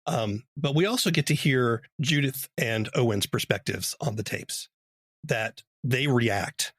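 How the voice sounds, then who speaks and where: A man with a husky, mid-pitched voice hosts an interview podcast. He explains things conversationally, recorded very dry and close. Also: a little slow, fairly bright tone, dry recording.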